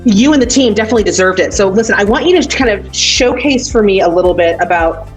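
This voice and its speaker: A woman's voice: mid-range voice, animated, fast talker, faint music behind. A woman interviewing in a casual, informal and effusive way.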